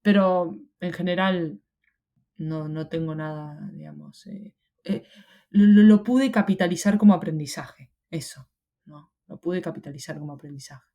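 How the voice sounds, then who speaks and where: A young woman from Argentina with an Argentine Spanish accent talks through her thoughts in a relaxed interview. Her voice is mellow and dark. She hesitates a lot and restarts her phrases. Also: low voice, normal speed. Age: young adult. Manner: reflective, many hesitations and restarts. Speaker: interview guest. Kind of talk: casual interview conversation.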